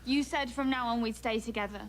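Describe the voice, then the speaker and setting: A woman's voice: on the high side, fairly even intonation, fairly quick, natural voice. An actress playing a frightened young wildling woman who anxiously pleads with a man not to leave. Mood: pleading. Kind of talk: TV drama dialogue.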